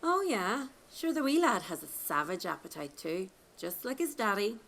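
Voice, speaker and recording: A woman playing a quick-witted, unflappable Irish wife, wry and matter-of-fact, in an audio drama legend. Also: mid-range voice, big pitch swings, gravelly, fairly bright tone, exaggerated voice. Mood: breezy, sly.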